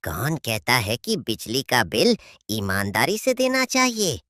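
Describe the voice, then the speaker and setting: A woman's voice: rumbling low, wildly swooping pitch, measured pace, a bit bright, dry recording, clean studio sound, voice pushed down, exaggerated voice. A woman voicing a sly, smug uncle bird in a children's cartoon.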